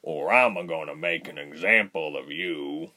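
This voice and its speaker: Man acting big gruff man, low rumbling voice. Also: moderate pace, pushed and bright, heavily acted. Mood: menacing. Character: big gruff menacing man with rustic speech.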